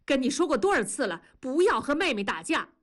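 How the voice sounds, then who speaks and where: A woman voicing a fed-up young mother who speaks briskly and sharply to her family. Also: high voice, big pitch swings, pitched above the performer's own voice. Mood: angry.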